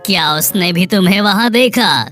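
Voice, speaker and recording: A woman's voice: medium pitch, normal speed, fairly bright tone, faint music behind, natural voice. A woman voicing a dangerous, commanding male leopard king in a cartoon story, imperious and menacing. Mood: scheming. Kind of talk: Hindi cartoon moral story acting.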